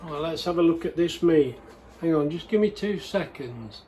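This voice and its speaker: An older man talks through his cooking in a home kitchen. His voice sits a little high for a man, and his chat is rambling and self-deprecating. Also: animated, normal speed, mellow. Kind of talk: casual cooking commentary.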